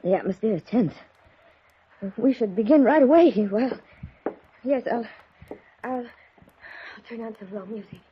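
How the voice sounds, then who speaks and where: A woman playing a genteel, intense middle-aged spirit medium in a 1950s radio drama, gracious, breathy and theatrical. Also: on the high side, expressive, unhurried, long pauses, a little hiss or hum. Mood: uneasy. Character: genteel, intense female spirit medium, about forty-five. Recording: dull old radio recording.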